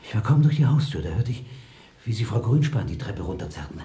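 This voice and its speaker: A man playing an anxious, fatalistic critic in a radio play, theatrical and halting. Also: lowish voice, sing-song, mellow. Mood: hushed, anxious. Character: anxious, haunted Viennese critic, rambling and fatalistic. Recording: roomy radio recording with tape hiss.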